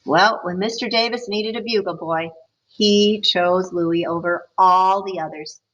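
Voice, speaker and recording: A woman teacher reading a picture book aloud, expressive, with chatty asides to her listeners. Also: fairly low pitch, unhurried, dull audio. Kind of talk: storybook read-aloud with comments.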